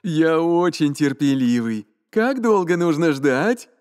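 An actor playing an excitable, childlike, whiny little mustached man in a preschool cartoon, with exaggerated, drawn-out exclamations. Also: fairly high pitch, slight background noise. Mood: eager, impatient.

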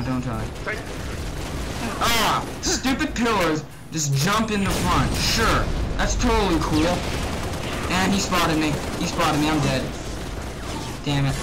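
A young man giving casual, excitable, grumbling let's play commentary on a video game. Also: on the high side, noisy background. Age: teens or young adult. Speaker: gaming video host.

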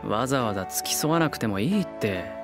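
A man's voice: on the low side, lively intonation, a little fast, over music. An actor playing a bored, laid-back young man who mutters and grumbles in blunt, casual speech in an anime drama. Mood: reluctant.

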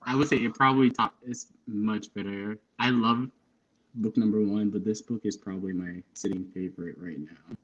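A man's voice: on the low side, steady tone, normal speed, muffled recording. A young man hosting a casual book chat on a video call, speaking informally and enthusiastically with slangy praise. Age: young adult. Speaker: book livestream host. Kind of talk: casual chat about books. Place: indoor room at home, on a video call. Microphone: headphones on, video call.

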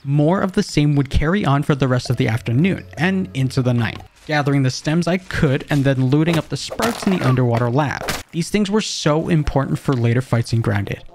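A man with a somewhat raised pitch narrates his progress through a survival game. His recap is steady and reflective, delivered as voice-over. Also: fairly quick, hardly any pauses, slight background noise. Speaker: gaming narrator.

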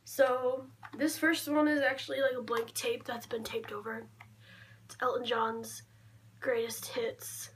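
A young woman talking casually in a low-key show-and-tell vlog, often trailing off, recorded on a camera mic in a bedroom. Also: flat delivery, a little slow. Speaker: vlogger showing a collection. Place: small cluttered bedroom, indoors. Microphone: camera mic at arm's length. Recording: clear background noise, handling clicks.

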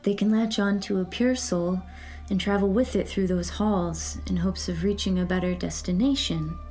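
An actress playing an eerie, secretive woman who believes in spirits, speaking in a measured, solemn, cryptic way in an audio drama. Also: mid-range voice, fairly even intonation, darkish tone, soft background music, close to an everyday voice.

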